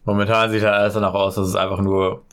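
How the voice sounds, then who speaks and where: Man, lower pitch; relaxed podcast co-host telling the story. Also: fast, clean tone. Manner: relaxed, laid-back, reads out case details. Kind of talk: casual true-crime podcast storytelling.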